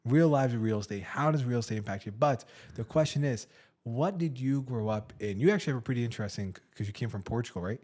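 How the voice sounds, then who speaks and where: A man hosting a casual real estate podcast interview, asking questions in an animated way. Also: medium pitch, fast. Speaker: podcast host.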